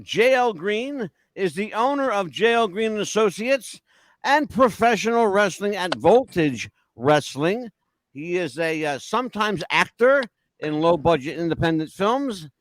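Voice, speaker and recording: An older male talk show host from the Northeastern United States, speaking in a high register with big swings of intonation. He banters casually and teases theatrically, and the sound is close and dry. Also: fairly bright tone, no room sound. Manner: teasing, theatrical, joking.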